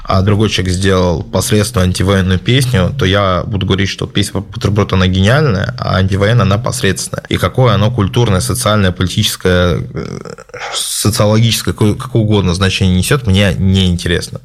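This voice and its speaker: A man's voice: sits low, fairly even intonation, brisk. A man hosting a stream and answering viewer questions in a casual, opinionated way, musing aloud with many fillers and restarts. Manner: opinionated and direct. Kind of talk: casual chat.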